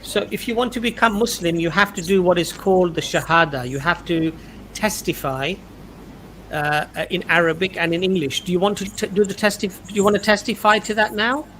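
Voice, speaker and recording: Patient stream host, high-pitched man, steady and guiding. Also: fairly quick, a little hiss or hum, poor audio. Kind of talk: hosting a live stream. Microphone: video call.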